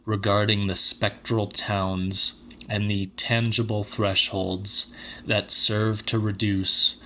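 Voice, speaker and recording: A man narrating literary horror as a dramatic audiobook, measured, deliberate and grave. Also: lowish voice, little pitch movement, pushed and bright.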